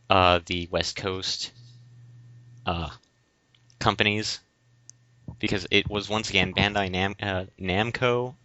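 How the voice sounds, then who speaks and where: A very low male voice with a forceful, bright edge, talking casually and haltingly as a podcast co-host. The sound is dry and slightly dull. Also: dry recording. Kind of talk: casual discussion of video games. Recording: dull lo-fi close voice recording.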